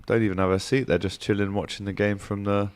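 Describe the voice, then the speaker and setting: A man with a fairly low voice hosts a live match show. He gives short casual updates and relays viewer comments between bits of debate. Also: little pitch movement, fast, smooth.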